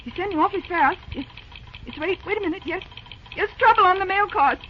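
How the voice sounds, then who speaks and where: A woman's voice: very high voice, fairly quick. A woman acting an anxious, earnest young daughter in an old-time radio drama. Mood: anxious, urgent. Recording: muffled, noisy old-time radio recording.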